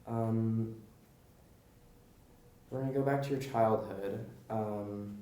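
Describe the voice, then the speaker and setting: Male interviewer, American accent, dark relaxed tone, encouraging, conversational, echoey room, slight background noise. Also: fairly low pitch, takes it slowly. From California. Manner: affirming, encouraging. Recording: roomy echo with faint background noise.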